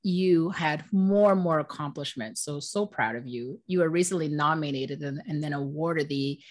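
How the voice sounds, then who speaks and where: A woman hosts a relaxed interview in a notably low voice. She keeps her guest talking with friendly, slangy affirmations. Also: moderate pace. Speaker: interview host. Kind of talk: casual interview.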